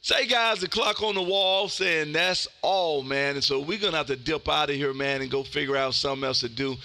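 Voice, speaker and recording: Bright, forceful man hosting a radio livestream. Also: fairly high pitch, a little fast, dry recording. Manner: effusive, folksy, peppers talk with "man". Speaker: amateur radio livestream host. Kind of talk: casual radio chat with listeners.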